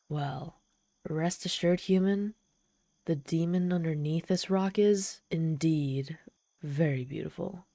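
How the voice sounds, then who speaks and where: Girl doing a proud lonely demon lady for asmr roleplay, lowish mellow voice, haughty but needy, super dry close sound. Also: dry recording, heavily acted. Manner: haughty yet pleading, theatrical sighs and gasps. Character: trapped female demon, proud, lonely, desperate, socially awkward. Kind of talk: dramatic ASMR roleplay storytelling.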